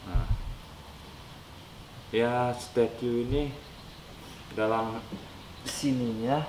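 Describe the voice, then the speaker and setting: A man's voice: medium pitch, fairly even intonation, drawn-out words, darkish tone. A young man chatting casually through an unboxing, his voice nasal and stuffy from a cold, rambling with many fillers. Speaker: unboxing vlogger. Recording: echoey room with clear background noise.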